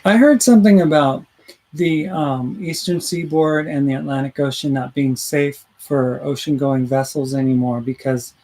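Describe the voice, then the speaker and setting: A man's voice: on the high side, moderate pace, mellow, low-quality recording. A man hosting a talk radio show in casual chat, rambling through his points and reading listener comments aloud. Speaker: host.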